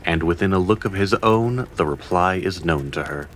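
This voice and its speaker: A man's voice: low-pitched, moderate pace, fairly bright tone, a little hiss or hum. A man narrating a scripted fantasy audio drama in a formal, literary storytelling manner. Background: faint music.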